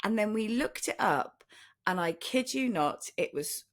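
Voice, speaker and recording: A woman's voice: mid-range voice, animated, unhurried. Chatty British woman co-hosting a podcast. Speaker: podcast co-host. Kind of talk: casual chat.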